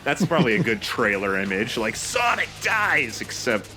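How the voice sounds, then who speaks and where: A man with a bright, forceful voice and lively swings in pitch offers blunt, informal commentary on a game. He talks casually as one of a group, with faint game music behind. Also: moderate pace. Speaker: let's play co-commentator. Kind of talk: casual chat over a video game. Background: video game music.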